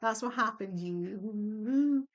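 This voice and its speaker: A young woman chatting bluntly as she ranks songs at home on her computer, her voice going a little hoarse. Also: medium pitch, drawn-out words, highs cut off. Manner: chatty, blunt, swears and laughs easily. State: losing her voice. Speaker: vlogger ranking songs. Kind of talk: casual chat.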